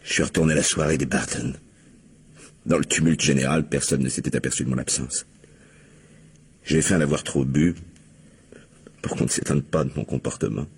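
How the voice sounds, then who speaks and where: A distraught killer in an old radio crime drama. His voice is extremely low and badly husked. He confesses haltingly, pleading to be believed. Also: fairly even intonation, creaky, husky, heavily acted. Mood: subdued, confessing.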